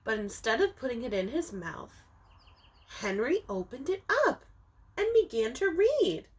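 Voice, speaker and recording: A woman teacher reading a picture book aloud to young children, expressively, with dramatic emphasis and drawn-out words, speaking to them directly. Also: a little high, very animated. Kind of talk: animated children's storybook read-aloud.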